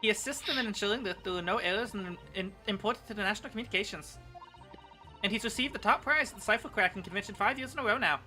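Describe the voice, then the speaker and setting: A woman's voice: mid-range voice, fast talker, bright, forceful tone, soft background music, close to an everyday voice, low-quality recording. A woman voicing a prim, helpful courtroom witness in a fan dub of a game, explaining things in a formal manner.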